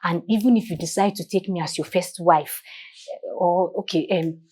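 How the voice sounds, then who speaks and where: A woman's voice: fairly low pitch, moderate pace, a little mellow, natural voice. A woman playing a young fiancée who speaks hesitantly and pleadingly.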